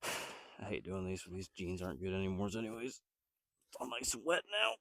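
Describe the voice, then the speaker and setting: A man's voice: sits low, sing-song, a little fast, a bit bright, no room sound. Stuffy-nosed man muttering while building. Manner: rambling, hesitant, thinks aloud while working. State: nasal from a cold. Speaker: DIY builder vlogger. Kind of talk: casual self-talk while building.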